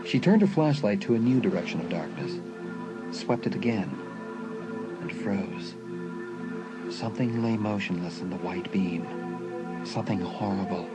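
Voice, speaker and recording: A man's voice: low voice, animated, unhurried, pauses often, poor audio. A man reading suspenseful scripted narration for a dramatised audiobook. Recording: faint music behind the narration.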